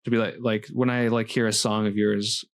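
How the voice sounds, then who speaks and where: Low-voiced man hosting a casual interview. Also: moderate pace, no room sound. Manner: relaxed, encouraging, self-deprecating. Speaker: podcast host, interviewer.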